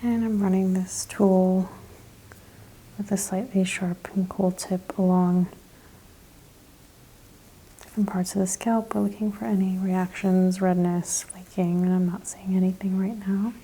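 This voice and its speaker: A woman whispering gently in an ASMR spa roleplay, softly and slowly describing each thing she does in a breathy voice. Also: mid-range voice, level tone, low-quality recording. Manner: slow, gentle, step-by-step narration of actions. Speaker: ASMR performer playing a spa practitioner.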